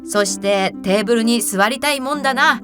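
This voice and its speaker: A woman voicing pompous, gullible young rich gentlemen from the city in a storybook reading, with self-assured, old-fashioned phrasing. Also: a little high, moderate pace, music in the background, lightly acted. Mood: puzzled, impatient. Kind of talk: theatrical fairy-tale character reading.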